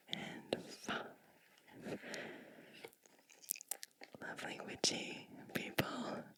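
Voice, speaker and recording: A woman whispering gently and breathily close to the microphone, reading a storybook aloud with chatty asides in an ASMR style. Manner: slow, gentle, hushed delivery with chatty asides.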